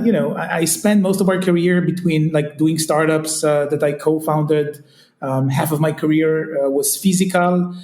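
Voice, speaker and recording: A man's voice: fairly high pitch, a little mellow. Israeli man with an echoey sound. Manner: searching, heavy on fillers like "kinda" and "you know". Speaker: guest, retail company CEO. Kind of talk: casual interview chat.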